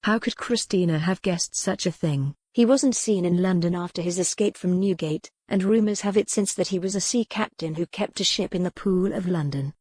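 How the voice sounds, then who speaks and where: A woman's voice: lowish voice, moderate pace, hardly any pauses, a little mellow, no room sound. A woman reading scripted narration for a historical romance audiobook.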